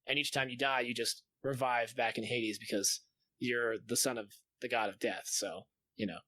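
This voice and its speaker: A man's voice: medium pitch, fairly even intonation, moderate pace, sharp tone, no room sound, low-quality recording. A man co-hosting a podcast, chatting casually and enthusiastically about games, with some swearing. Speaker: podcast co-host. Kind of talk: casual gaming chat.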